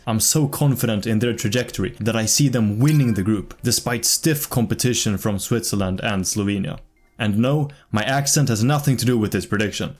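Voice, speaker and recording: A man reading scripted football commentary in a measured way, slipping in dry, wry asides. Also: fairly low pitch, darkish tone. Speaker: football predictions channel host. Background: faint background music.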